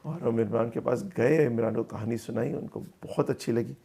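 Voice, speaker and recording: A man giving conversational interview answers about his work as a filmmaker, speaking in a halting, thoughtful way and mixing in English phrases. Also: mid-range voice, creaky, warm tone, slightly rough. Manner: halting, thoughtful, with repeated words and pauses; mixes English phrases into Hindi.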